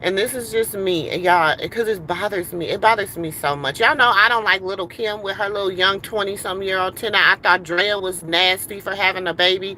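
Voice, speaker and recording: A woman's voice: medium pitch, fairly quick, few pauses, sharp tone, slight background noise, low-quality recording. A middle-aged woman ranting on a casual livestream from a car, heated and rambling with many fillers. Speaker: livestream host.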